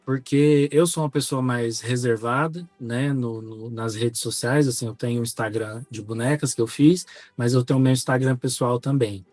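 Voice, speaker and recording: A man with a Brazilian accent talking reflectively as a podcast co-host, measured and articulate, feeling for his words. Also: medium pitch, fairly even intonation, darkish tone, dry recording, faint music behind. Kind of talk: reflective conversational podcast talk.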